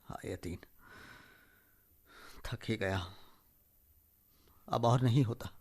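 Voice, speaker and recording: An actor in a radio play playing a weary, principled village man, earnest and resigned yet quietly resolute. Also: medium pitch, takes it slowly. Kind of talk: Hindi radio play acting.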